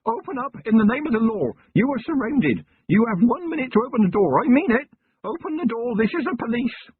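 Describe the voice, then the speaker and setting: A man's voice: sits high, very animated, a little fast, husky, no echo, pitched above the performer's own voice, heavily acted. A man doing a nervous young country constable. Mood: shouting. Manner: eager, nervous, rambling. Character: earnest anxious young male country constable. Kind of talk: audiobook character voice. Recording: tinny lo-fi narration recording.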